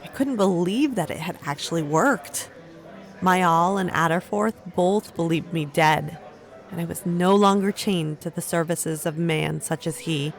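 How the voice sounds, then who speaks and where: A woman's voice: on the low side, unhurried, creaky, faint music behind. A woman playing a resilient young woman who recounts her ordeal in a fantasy audio drama. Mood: wry, measured. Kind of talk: first-person storytelling in an audio drama.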